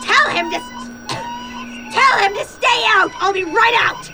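A woman's voice: very high voice, huge pitch swings, a little slow, loud music behind the voice, full character voice. A woman playing a tough, blunt, commanding Mars expedition commander in a science-fiction audio drama. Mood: panicked, defiant. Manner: authoritative, blunt, intense.

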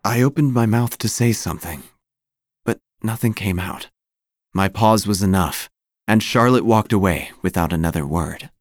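A man acting a low-voiced, haunted obituary writer. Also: normal speed, dry recording, studio quality, lightly acted. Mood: grave. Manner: solemn, literary, ominous. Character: haunted, melancholy male obituary writer narrating gothic horror. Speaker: narrator. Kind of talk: scripted horror audio drama narration.

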